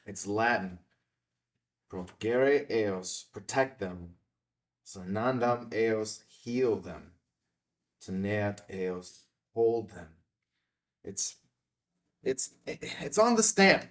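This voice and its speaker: A man's voice: on the low side, animated, extremely slow, long pauses. A man acting in a table read as a dazed, earnest man who speaks hesitantly in broken phrases.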